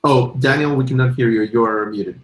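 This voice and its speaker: A man's voice: mid-range voice, a little fast, reverberant. A man moderating an online panel, thanking speakers and passing questions on. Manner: upbeat, thanking and passing the floor. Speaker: session moderator.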